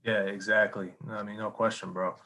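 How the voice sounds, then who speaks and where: A man in his thirties from Michigan hosts a podcast and tells stories in a friendly, animated way, saying "like" and "bro". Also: on the low side, brisk. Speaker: podcast host. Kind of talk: casual interview conversation.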